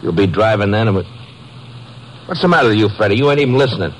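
Guy doing a tough american crook boss, deep voice, blunt and pushy, old noisy radio drama. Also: animated, fast talker, lightly acted. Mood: impatient, confident. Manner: blunt, commanding, colloquial tough talk. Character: domineering small-time male crook, ringleader, coldly persuasive. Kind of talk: 1940s-50s American radio drama acting.

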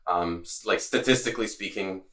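A man's voice: low voice, a little fast, fairly bright tone. A young man from Latvia, a native Latvian speaker, chatting casually as a guest with many fillers and restarts. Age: young adult. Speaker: student executive director. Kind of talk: casual chat.

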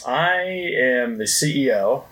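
A man's voice: mid-range voice, lively intonation, takes it slowly, fairly bright tone. A man chatting casually as a podcast guest, joking with mock boasts and self-deprecation. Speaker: company CEO, podcast guest. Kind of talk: casual chat.

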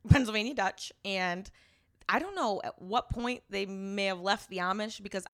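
A woman's voice: medium pitch, normal speed. A young woman co-hosting a podcast, chatting casually with crude jokes and a lot of laughter. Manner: animated, joking, frequent laughter, crude humor. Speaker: podcast co-host. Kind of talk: casual chat.

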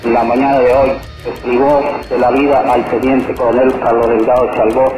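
An actor as a grave male announcer reading old-time radio news. His delivery is flat and formal, with heavy echo. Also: mid-range voice, fairly quick, close to an everyday voice. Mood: grave, official.

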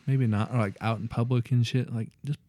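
A young man talks in a relaxed, profane way, co-hosting a casual podcast. His voice is mellow and dark. The sound is dry and close. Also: medium pitch, a little fast, no echo. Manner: loose and profane, laughs often. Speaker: podcast co-host. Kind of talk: casual chat.

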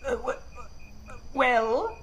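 Casting: a male narrator as elderly gnome elder, kindly and timid, pitched up with lively swings, storybook reading with background noise. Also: extremely slow, heavily acted. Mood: shy, hesitant. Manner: hesitant, polite, gently pleading. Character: shy, kindly, aged senior male gnome.

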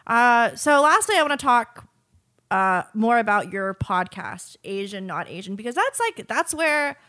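A woman hosting a podcast, interviewing in a casual, informal and somewhat halting way. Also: fairly high pitch, lively intonation, pushed and bright. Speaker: podcast host.

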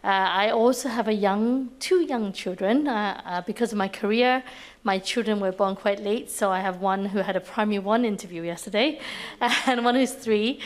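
Friendly woman doctor, forties, from Hong Kong, mid-pitched panel voice. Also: moderate pace. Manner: friendly, laughs lightly. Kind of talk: panel introduction.

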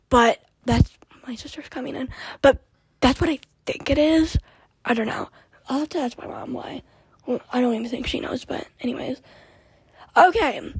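Young woman w a raspy high voice, just rambling on her podcast, dry close sound. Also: no room sound. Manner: chatty, scattered, drops to whispered asides. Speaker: podcast host. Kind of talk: casual rambling chat.

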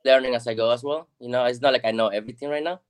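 Smooth-voiced Peruvian guest. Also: male voice, medium pitch, steady tone, rapid-fire. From Peru. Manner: earnest, modest, many "you know" and "like" fillers. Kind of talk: casual interview. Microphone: video call.

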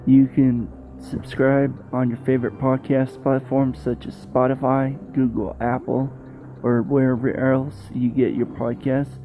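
Slow-talking male podcast host. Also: mid-range voice, level tone, warm tone. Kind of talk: scripted podcast outro. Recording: dull, muffled podcast recording. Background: faint guitar music.

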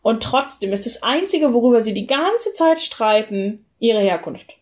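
A woman's voice: fairly high pitch, expressive, a little slow. A woman speaking colloquially in a casual discussion, giving blunt opinions as a co-host. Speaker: co-host of a discussion about a TV series. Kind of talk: casual chat, critical series discussion.

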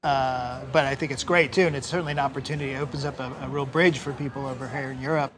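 A man's voice: mid-range voice, fairly even intonation, fast. A man interviewing a guest onstage, asking long, winding questions in a conversational way. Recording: crowd murmur in the background.

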